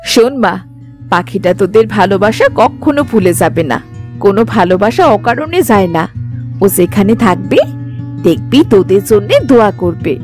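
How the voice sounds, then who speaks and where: Woman as a gentle, lively-pitched village mother. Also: moderate pace, faint music behind, lightly acted. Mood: consoling. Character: gentle, consoling village mother of two girls. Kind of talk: cartoon moral story dubbing.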